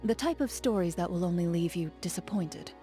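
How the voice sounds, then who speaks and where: A woman's voice: lowish voice, faint music behind, close to an everyday voice. A woman playing a stoic, reserved duelist. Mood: measured. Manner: calm, measured, formal and reserved. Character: stoic young woman duelist, composed and guarded. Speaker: game character. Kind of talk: game character voice lines.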